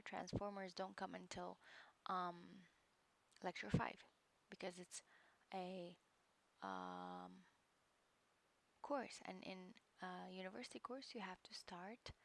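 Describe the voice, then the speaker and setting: A woman with a low speaking voice chatting casually on a live stream as she works through a course, hesitant and full of fillers and muttered asides. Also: big pitch swings, slow, creaky, pauses often. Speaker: livestreamer studying a course.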